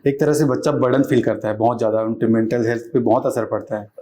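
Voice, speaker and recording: A man from Chhattisgarh hosting a podcast, chiming in with quick agreement and examples of his own. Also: medium pitch, steady tone, mellow. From Chhattisgarh, India. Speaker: podcast host. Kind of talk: conversational podcast interview.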